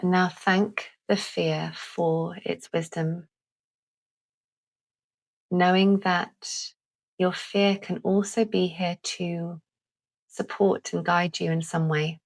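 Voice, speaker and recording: A woman leading a calming guided visualisation in a gentle, deliberate manner with long pauses. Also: lowish voice. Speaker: guided meditation host.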